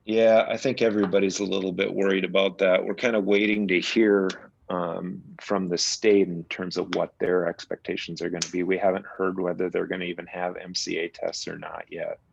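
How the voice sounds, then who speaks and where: A man giving a school principal's report at a parents' meeting, relaxed and rambling with fillers. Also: low voice, normal speed, creaky, highs cut off, slight background noise. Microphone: video call.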